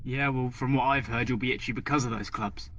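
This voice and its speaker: A man's voice: mid-range voice, steady tone, a little fast, a bit bright, dull audio, slight background noise, lightly acted. A man playing a blunt, suspicious, sarcastic young man in an audio drama. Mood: sarcastic, wary. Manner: dry sarcasm, British slang. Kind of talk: dystopian audio drama acting.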